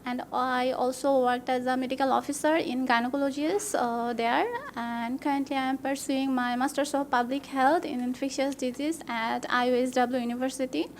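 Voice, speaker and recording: High flat-voiced woman from Bangladesh. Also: normal speed, few pauses, slight background noise. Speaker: graduate student. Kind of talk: self-introduction.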